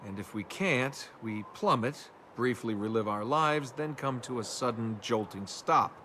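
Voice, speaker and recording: A man's voice: mid-range voice, unhurried, sharp tone, slight background noise. An actor playing a flippant young male adventurer in a video game, casual and full of sardonic quips.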